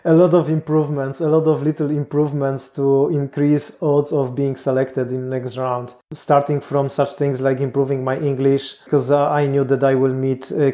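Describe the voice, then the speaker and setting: Polish man, non-native English, warm low-key delivery, flat intonation, frequent restarts. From Poland. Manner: thoughtful, frequent restarts and pauses. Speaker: guest, Mars mission candidate. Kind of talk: interview answers.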